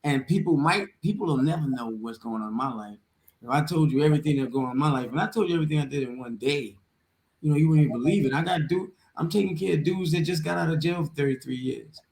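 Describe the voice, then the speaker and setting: A man's voice: a little high, fast, creaky, a little mellow. A middle-aged man chatting casually in an emphatic, slangy, repetitive way. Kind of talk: casual, streetwise chat. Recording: roomy echo with faint noise.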